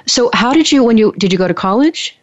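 A woman's voice: a little high, expressive, fast, no echo. A woman hosting a conversational interview in an encouraging, appreciative manner. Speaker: host, interviewer. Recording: dry, slightly dull lo-fi sound.

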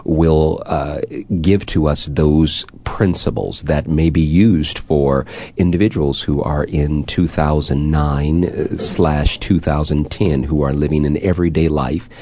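A man teaching on a discussion panel, laying out his approach in formal, measured wording with pauses. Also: a bass voice, fairly even intonation, dark tone. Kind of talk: formal explanatory talk.

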